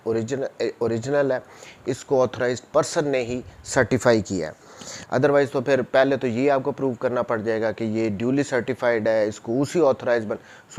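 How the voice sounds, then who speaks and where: A Pakistani man speaks as a law lecturer at a medium pitch. He reads formal legal wording, then explains it step by step, repeating key points and mixing English terms into his explanations. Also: darkish tone. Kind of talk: explanatory lecture, reading statutes and explaining them.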